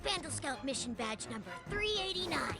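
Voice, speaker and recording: A woman playing a tiny, earnest, chirpy furry scout creature in an animated game trailer. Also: sits high, normal speed. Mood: earnest, eager. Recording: loud music and trailer sound behind.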